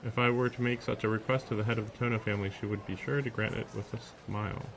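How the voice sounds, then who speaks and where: A man's voice: on the low side, fairly even intonation, fast, gravelly, background noise. A man live streaming a visual novel, reading the text aloud and dropping in casual, sarcastic asides. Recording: music behind the voice. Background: game music.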